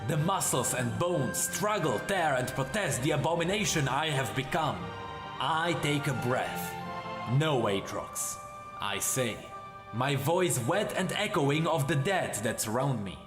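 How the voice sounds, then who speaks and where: A man voicing a bitter, brooding ancient fallen warrior demon in a slow, solemn, theatrical monologue. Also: medium pitch, expressive, echoey room, music in the background, pitched below the performer's own voice, lightly acted. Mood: grim resolve.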